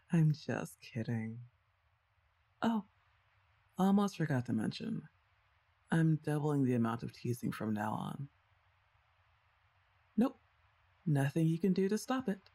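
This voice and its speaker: A woman's voice: low voice, sing-song, takes it slowly, long pauses, husky, exaggerated voice. A woman playing a teasing young older sister. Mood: affectionate. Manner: playful, teasing, soft-spoken. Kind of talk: ASMR roleplay.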